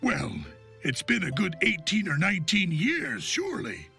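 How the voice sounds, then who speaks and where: A woman voicing an eager, friendly older man with a creaky voice in a game, with music behind. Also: on the low side, sing-song, moderate pace, sharp tone, exaggerated voice. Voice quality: gravelly, creaky. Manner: hearty, familiar.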